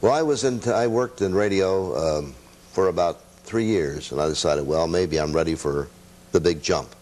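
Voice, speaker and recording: An older man, a veteran radio guest, chatting casually and reminiscing in a halting, self-deprecating, anecdotal way. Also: sits low, darkish tone.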